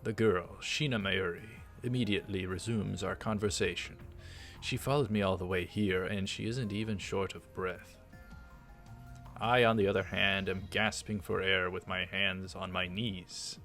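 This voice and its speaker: Low-voiced man doing a visual novel let's play. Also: fairly even intonation, moderate pace, fairly bright tone. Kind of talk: casual let's play commentary and read-aloud narration. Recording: faint music behind. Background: visual novel background music.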